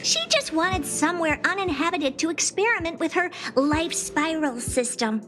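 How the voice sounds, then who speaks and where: A woman playing a brisk, haughty, girlish researcher in a video game, bossy and dismissive in manner. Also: very high-pitched, fairly bright tone. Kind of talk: video game character voice acting. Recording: music behind the voice.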